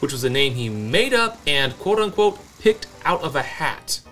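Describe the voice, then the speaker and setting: Deadpan American man narrating over guitar music. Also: a little high, normal speed. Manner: deadpan, dry humor. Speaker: co-host of a whiskey review show. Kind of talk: semi-scripted comic history narration and banter. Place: small indoor home-style bar set. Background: background music with guitar.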